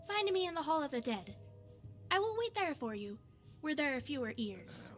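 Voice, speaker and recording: A woman playing a poised, secretive spy devoted to her goddess in a video game, speaking in formal, measured phrases. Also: high voice, big pitch swings, over music. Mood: composed, discreet. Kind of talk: scripted video game character dialogue.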